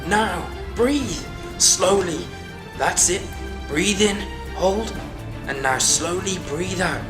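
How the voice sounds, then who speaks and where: Brief: brave broccoli taking charge, slow and commanding, echoey room, music underneath; a woman voices it. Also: fairly low pitch. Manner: commanding, calming. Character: brave broccoli who takes charge, firm and steady.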